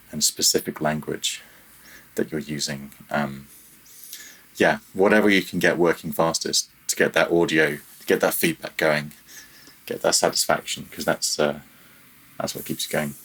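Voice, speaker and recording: A man's voice: a bass voice, fairly even intonation, moderate pace, fairly bright tone, slightly rough, rough recording. A man chatting casually as a podcast guest, self-deprecating and a little hesitant in how he phrases things. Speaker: podcast guest, freelance audio programmer. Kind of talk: casual interview chat.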